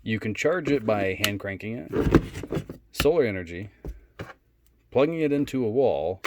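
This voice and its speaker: A man giving a casual, unscripted product demonstration in a low-key, halting way. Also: lively intonation, very noisy. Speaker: product reviewer.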